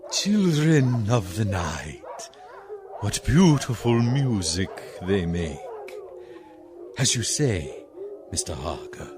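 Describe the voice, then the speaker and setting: 느릿하고 위협적인 흡혈귀 백작. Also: male voice, fairly low pitch, very animated, background noise. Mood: sinister delight. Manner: slow, deliberate, ceremonious. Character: old vampire count, courteous, slow and menacing nobleman. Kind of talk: radio play acting.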